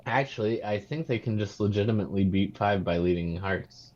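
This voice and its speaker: A man giving measured, analytical bridge commentary with dry quips. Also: fairly low pitch, darkish tone, highs cut off.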